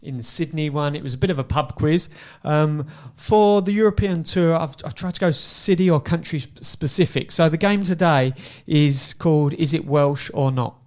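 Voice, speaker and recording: A man's voice: fairly high pitch, normal speed, hardly any pauses, a little mellow. A man hosting a podcast, running a playful quiz game in a casual, upbeat way. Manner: upbeat, laughing, repeats words. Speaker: podcast host.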